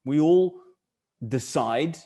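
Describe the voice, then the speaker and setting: A middle-aged Dutch man with a clear Dutch accent speaks English while leading an online webinar. His voice is warm and mellow, and his delivery wanders as he thinks aloud, doubling back on words and restarting sentences. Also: fairly high pitch, extremely slow. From Netherlands. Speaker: webinar presenter.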